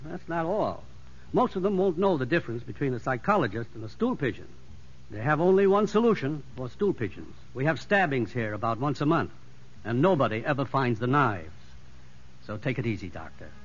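An actor playing a seasoned, plain-spoken prison doctor giving wry cautions in a 1950s radio drama. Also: male voice, mid-range voice, sing-song, brisk, husky. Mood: wry, cautioning. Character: seasoned, matter-of-fact male prison doctor. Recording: muffled 1950s radio recording.

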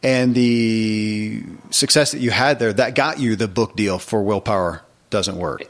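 A man's voice: fairly low pitch, a little slow. A man hosting a podcast interview conversationally, circling through long questions and clarifications for listeners. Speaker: podcast host and author.

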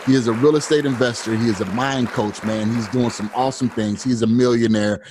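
A man's voice: mid-range voice, steady tone, fairly quick, crowd noise, background noise. A man hosting a show, giving upbeat introductions and casual questions in an enthusiastic, repetitive way. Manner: enthusiastic, repeats words and phrases. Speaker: podcast host.

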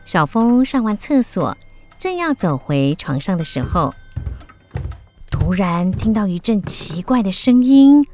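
A woman's voice: mid-range voice, very animated, a little slow, darkish tone, faint music behind. A woman with a Taiwanese Mandarin accent, narrating a scripted story for children.

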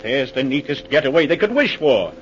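An actor playing a gruff, impatient police inspector with a sardonic, commanding manner, in 1940s radio acting style. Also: male voice, mid-range voice, very animated, a little fast, natural voice. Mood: urgent. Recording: muffled, faintly noisy 1940s radio recording.

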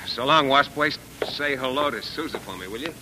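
A man's voice: expressive, a little fast, bright, forceful tone. A man playing a proud, touchy, cynical yet earnest struggling violinist in 1940s radio drama style. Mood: warmer, proud. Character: skinny, proud, touchy young struggling New York violinist. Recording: noisy old radio broadcast with rumble.

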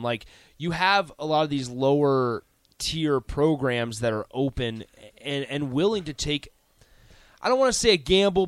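Male sports radio co-host, mid-pitched, halting analysis with repeated words, close dry sound. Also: fairly bright tone, clean tone, no room sound. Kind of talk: sports talk discussion.

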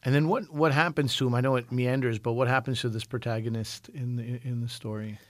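A man's voice: mid-range voice, fairly even intonation, a little fast, dry recording, clean studio sound. A man from Canada hosts a radio books show, asking curious, probing questions with restarts and reading the outro.

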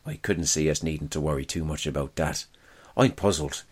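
A man's voice: rumbling low, brisk, husky. A man reading a first-person wartime diary aloud as scripted narration.

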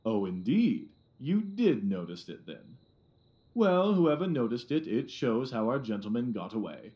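A man's voice: mid-range voice, big pitch swings, a little slow, mellow. A man playing a pompous, blustering Victorian police inspector in an audio drama, smug, officious and self-satisfied.

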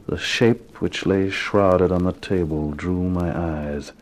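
An actor playing a tough, guarded private eye in a radio crime drama, terse, poker-faced and sardonic. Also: male voice, sits low, steady tone, takes it slowly, soft background music. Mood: brooding, weary. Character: tough, guarded hard-boiled private eye, narrating his case. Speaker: actor playing detective and narrator. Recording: noisy old 1950s radio recording.

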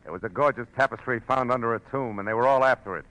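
An actor playing a tough, wry private detective in 1940s American radio style, clipped and slangy. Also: male voice, mid-range voice, fast, a bit bright, no echo, close to an everyday voice, rough recording. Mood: grim, punchy. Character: hard-boiled male private detective, wry and unflappable. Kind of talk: 1940s radio detective acting, first-person narration. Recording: vintage radio recording with music behind.

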